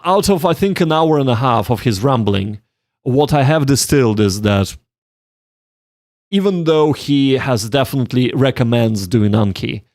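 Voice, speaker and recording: A man's voice: mid-range voice, darkish tone, dry recording. Man thinking aloud in a dry-sounding recording. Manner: rambling, thinking aloud, self-reflective. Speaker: streamer learning Japanese. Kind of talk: casual livestream chat with study and game reading.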